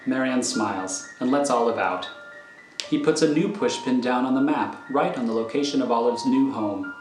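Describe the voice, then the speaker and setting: A man's voice: medium pitch, normal speed. A man reading scripted screenplay narration as the narrator of a thriller. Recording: roomy recording over faint music.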